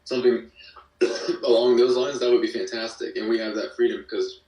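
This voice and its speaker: A man's voice: medium pitch, steady tone, normal speed, darkish tone. A man talking casually and enthusiastically as an interview guest, with slangy, informal phrasing. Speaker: guest, musician and audio drama creator. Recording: echoey room, distant from mic.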